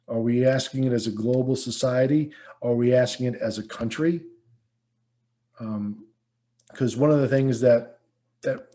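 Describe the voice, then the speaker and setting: Mellow American man, informal instructor. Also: mid-range voice. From Virginia, United States. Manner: conversational, folksy, rhetorical questions, emphatic repetition. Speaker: college instructor. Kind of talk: informal lecture answering students' questions.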